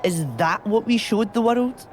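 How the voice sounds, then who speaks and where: A woman's voice: a little high, measured pace, darkish tone, a little hiss or hum, close to an everyday voice. A woman voicing a cool, disapproving member of a witch coven in a wrestling video game, dry and sceptical. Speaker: voice actor in a video game cutscene.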